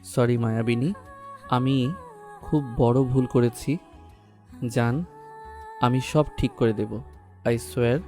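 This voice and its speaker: Man acting a mellow, slow-spoken brooding lover. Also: medium pitch, fairly even intonation, close to an everyday voice. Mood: remorseful, tender. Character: brooding, remorseful young man in love, tough boss figure. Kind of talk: Bengali audio story romance acting. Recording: music bed behind the voice.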